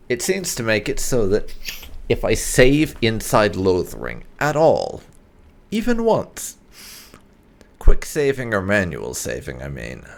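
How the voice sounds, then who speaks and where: A man's voice: lowish voice, creaky, slight background noise. A man hosting a gaming channel, giving casual let's play commentary.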